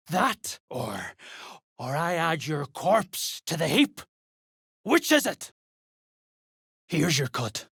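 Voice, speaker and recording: An actor playing a coarse, greedy duergar mercenary in a fantasy video game, speaking gruffly in rough, sweary slang. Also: medium pitch, a bit bright, no echo, studio quality, exaggerated voice. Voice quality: gravelly, husky.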